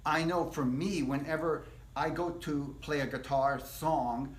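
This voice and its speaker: A man teaching a casual live guitar workshop, explaining things step by step in a relaxed, rambling way. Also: a little high, a little slow. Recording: echoey room.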